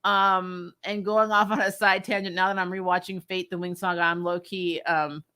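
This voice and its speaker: A woman hosting a casual livestream review, chatting with viewers in an animated, emphatic way and repeating phrases for effect. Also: on the low side, normal speed, clean tone. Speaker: host of a comic and TV review channel. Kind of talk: casual livestream chat, answering viewer questions.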